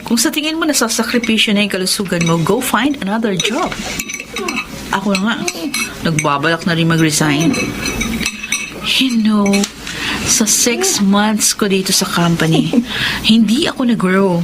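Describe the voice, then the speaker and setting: A woman's voice: medium pitch, lively intonation, normal speed, long pauses, noisy background, close to an everyday voice. An actress playing a frank, concerned young female office coworker with a Filipino accent in a radio drama. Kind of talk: radio drama dialogue, conversational.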